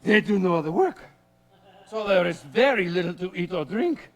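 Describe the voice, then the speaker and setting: An actor playing a witty, swaggering poet who pretends to be a raving space traveler, talking in a flamboyant, theatrical, grandly rambling way. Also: male voice, sits high, animated, normal speed. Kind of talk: stage-style period drama acting.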